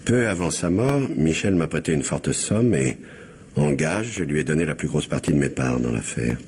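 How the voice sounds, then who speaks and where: An actor playing a scheming, indebted blackmailer with falsely courteous, insinuating manners in a French radio mystery. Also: male voice, a bass voice, animated, moderate pace, darkish tone. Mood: bargaining. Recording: faint background noise.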